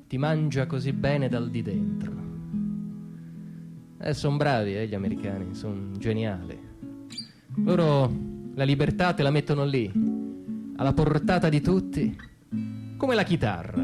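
A man delivering a conversational, satirical theatre monologue in an ironic, hesitant way, with frequent pauses and asides. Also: a little high. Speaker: stage monologist.

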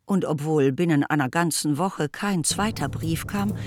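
Eine Frau erzählt als Sprecherin eines literarischen Hörspiels, getragen und ausdrucksvoll. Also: female voice, deep voice, unhurried, dry recording, studio quality, faint music behind. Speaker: narrator. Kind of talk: scripted literary narration in a radio drama.

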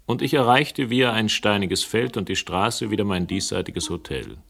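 Deep-voiced, wry male investigator. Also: normal speed, creaky, fairly bright tone. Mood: dry, wry. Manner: ironic, literate, ornate wording. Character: wry, urbane male investigator narrating his own case. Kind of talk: German radio crime drama acting and first-person narration.

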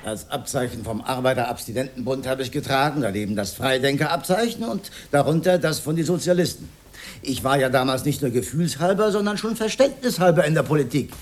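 An actor playing a rambling, pedantic old socialist freethinker with an Austrian accent, in a theatrical radio drama style. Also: male voice, medium pitch, lively intonation, brisk, lightly acted. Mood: proud, indignant. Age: older. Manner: rhetorical, declamatory, given to rambling reminiscence.

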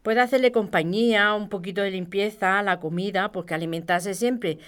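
A woman's voice: mid-range voice, normal speed, fairly bright tone, clear, resonant tone, natural voice. An actress with a Spain accent playing a caring but exasperated working woman, speaking colloquially and expressively. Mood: concerned, placating. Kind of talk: audio fiction podcast acting.

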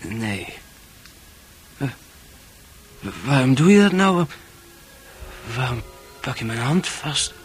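Shy heartbroken lad in a radio drama, slow halting stammering talk, faint music behind. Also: male voice, medium pitch, big pitch swings. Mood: bewildered, tender. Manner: hesitant, stammering. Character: naive, heartbroken, tipsy young man, shy and slow. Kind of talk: Dutch radio play acting.

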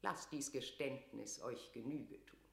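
A woman's voice: extremely low pitch, a little slow, gravelly, fairly bright tone, echoey room, full character voice. An actress playing a proud, imperious queen, declaiming regally in classical radio-drama verse. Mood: regal, reluctant. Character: proud, imperious English queen holding court.

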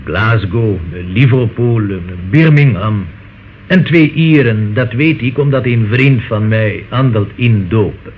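Hesitant Italian informant, muffled old recording. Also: male voice, medium pitch, expressive, slow, a little hiss or hum. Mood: nervous, evasive. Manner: hesitant, hedging. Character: evasive naturalised Italian market-stall landlord, nervous informant. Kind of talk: radio play acting.

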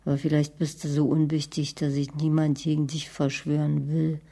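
A middle-aged woman playing a bitter, scornful, nagging wife in a radio drama, with a raspy voice. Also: deep voice, flat delivery, normal speed, mellow.

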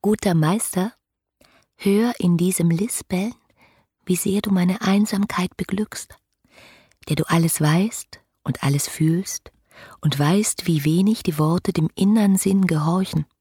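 A young woman pouring out love letters in a radio play. Her voice is breathy and husky, close and dry, often dropping into a whisper. She speaks with fervent, poetic devotion and lonely longing. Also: lowish voice, a little slow, warm tone, no room sound. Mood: whispered adoration. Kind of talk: literary radio drama monologue.

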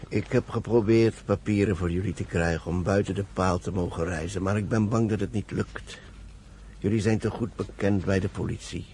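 A cautious older rabbi in a radio drama, with a fairly low voice. He counsels in a grave, deliberate, admonishing way. There is some noise on the old recording. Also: fairly even intonation, darkish tone. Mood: grave, concerned. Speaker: actor in a radio play. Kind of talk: Dutch radio drama acting.

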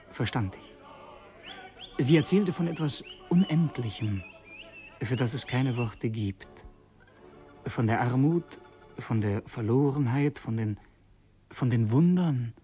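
A man's voice: mid-range voice, mellow. An actor playing a brooding, secretive young man who recounts his memories with melancholy intensity in a radio play. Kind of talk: vintage German radio drama acting.

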